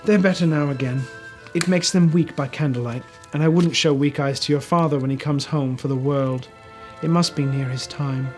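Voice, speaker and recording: A tender, grieving mother, played by a male reader, speaking gently and sadly. The voice sits a little high for a man, in a storybook telling with faint music. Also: moderate pace, few pauses, close to an everyday voice. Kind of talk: storybook reading.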